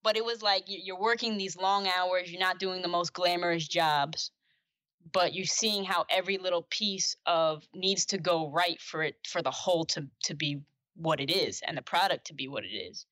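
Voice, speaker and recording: A chatty young woman talking as a podcast guest, her voice bright and forward with a slightly low pitch. She speaks casually, full of restarts and fillers. The sound is close and dry. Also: moderate pace, dry recording. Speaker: podcast guest, basketball staff. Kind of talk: casual interview conversation.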